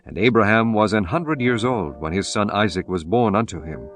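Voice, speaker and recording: A man's voice: lowish voice, lively intonation, normal speed, soft background music. A man narrating a scripture audiobook in a solemn, formal manner, reading archaic text as a scripted performance.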